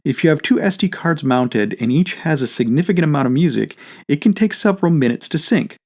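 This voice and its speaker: A man reading a scripted product tutorial as a demonstrator, in a clear step-by-step instructional way. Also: on the high side, animated, fairly quick, a little mellow.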